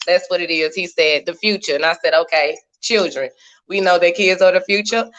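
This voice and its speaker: A woman in her thirties with a bright, forceful voice tells her story on a video call. She talks in an animated way, laughs often and drops churchy phrases. The stream sound is dull and lo-fi. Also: fairly low pitch, a little fast. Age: 30s. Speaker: guest. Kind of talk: casual chat.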